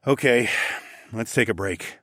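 A man's voice: lowish voice, measured pace, a bit bright, slightly rough, dry recording, studio quality. A man playing a confident, reassuring fantasy lord with an assured, plain-spoken manner in an audiobook. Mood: practical.

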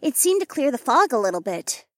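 Guy doing a curious bookish young woman, really high, very lively voice. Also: a little fast, no room sound, clean studio sound, heavily acted.

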